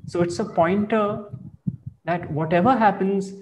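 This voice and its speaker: Man teaching spiritual stuff, slow thoughtful phrasing, bit higher voice. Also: a little mellow, poor audio. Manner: slow, reflective, measured phrasing. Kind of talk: calm explanatory spiritual talk.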